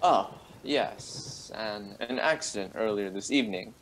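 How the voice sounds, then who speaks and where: Slow-spoken, courteous actor as a gentleman doctor. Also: on the low side, slight background noise, lightly acted, rough recording. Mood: polite, uneasy. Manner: courteous, hesitant. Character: polite, flustered gentleman doctor, apologetic host. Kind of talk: radio play acting.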